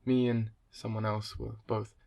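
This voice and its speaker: A man's voice: on the low side, level tone, a little slow, creaky, mellow, slight background noise. An actor playing a candid young man, speaking hesitantly in a confessional radio drama monologue. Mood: candid, reflective. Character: candid young man recounting a casual encounter.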